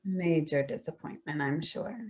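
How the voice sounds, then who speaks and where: A woman's voice: sits low, moderate pace, a little mellow, close to an everyday voice. A woman playing an exasperated, sarcastic grown daughter and protective mother in a staged play reading, sounding weary and sardonic.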